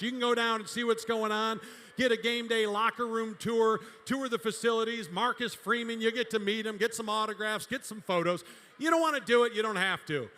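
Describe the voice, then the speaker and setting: A man working as auctioneer and emcee at a charity gala, calling bids in a rapid-fire, booming delivery over a microphone in a large hall. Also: bright, forceful tone. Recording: reverberant hall with music.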